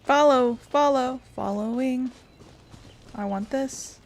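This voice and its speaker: A woman's voice: high voice, slow, gravelly, slight background noise. A woman streamer giving casual, chatty gameplay commentary, swearing and talking to herself.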